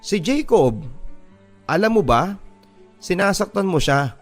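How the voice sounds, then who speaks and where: An angry Filipino schoolgirl bully from a radio drama, played by a man, scornful and accusing. The voice sits higher in pitch, over a music bed. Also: sing-song, a little slow, heavily acted. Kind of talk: Tagalog radio drama acting. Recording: music behind the voice.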